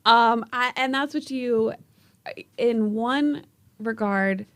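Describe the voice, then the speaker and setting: A woman's voice: a little high, slow, a bit bright, dry recording. Woman, team reporter, casual podcast chat, upbeat quips, dry close sound.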